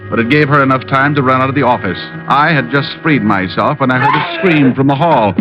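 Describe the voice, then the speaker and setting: A man's voice: medium pitch, a little fast, natural voice. A man playing a wisecracking, cool-headed private detective in vintage radio drama style. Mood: urgent narrating. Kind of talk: 1940s-50s radio drama acting with narration. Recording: muffled old radio recording with music.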